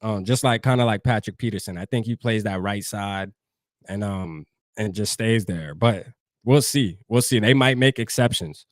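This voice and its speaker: A man's voice: fairly low pitch, moderate pace, no echo, clean studio sound. A man from New Jersey hosting a fan show, talking loosely and profanely straight to his live chat. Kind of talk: casual livestream sports talk.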